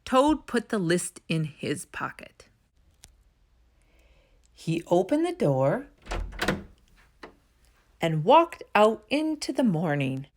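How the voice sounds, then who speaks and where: A woman reading a children's story aloud as narrator, in an expressive storytime style. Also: on the low side, slow, long pauses. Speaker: storytime reader.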